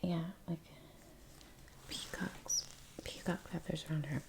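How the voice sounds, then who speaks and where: A woman speaking very softly and breathily, often near a whisper, in halting, casual ASMR musing while she works. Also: low voice, steady tone, vocal fry. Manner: hushed, halting, unhurried. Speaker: ASMR artist hosting a painting session.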